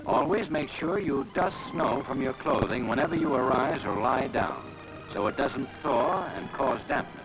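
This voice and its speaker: A man's voice: mid-range voice, animated, normal speed, poor audio. A man reading formal, instructive scripted narration on a 1940s training film soundtrack. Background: music.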